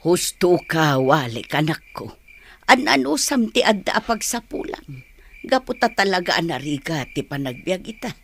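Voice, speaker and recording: A woman acting a gentle, consoling older village mother in an Ilocano radio drama, with an Ilocano Filipino accent. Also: on the low side, sing-song, normal speed, breathy edge, full character voice.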